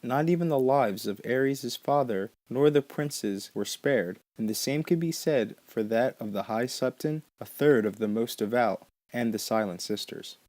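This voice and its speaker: A man narrates a podcast from a prepared script in the measured role of host. His voice sits at a middle pitch, and the sound is close and dry with no room around it. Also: darkish tone, no echo.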